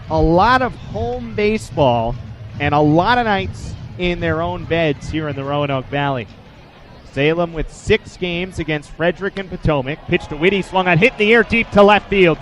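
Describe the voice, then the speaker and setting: A man in his twenties with an American accent, doing live play-by-play commentary as a sports announcer. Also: fairly high pitch. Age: 20s. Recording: loud noise behind the voice, live sports venue. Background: arena or ballpark ambience.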